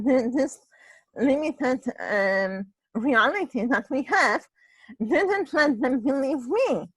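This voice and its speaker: A woman from Poland with a Polish accent and a husky voice, telling a personal story in casual chat, halting and restarting her phrases and often adding "right?". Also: high voice, a bit bright, dry recording, low-quality recording. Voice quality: husky voice, ongoing voice problem.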